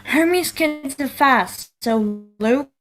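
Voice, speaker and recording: High, unhurried bossy young strategist, played by a woman. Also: animated, fairly bright tone, exaggerated voice. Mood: bossy, exasperated. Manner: exasperated, commanding. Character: bossy young strategist daughter of wisdom goddess. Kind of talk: musical theatre table read.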